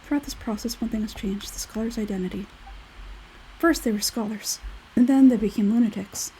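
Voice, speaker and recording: A woman acts out an aloof young male scholar from a fantasy game. The tone is mellow and soft-edged, and the delivery is measured, detached and matter-of-fact. There is faint noise behind the voice. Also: on the high side, close to an everyday voice, low-quality recording. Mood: detached, explaining. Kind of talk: video game character dialogue read aloud.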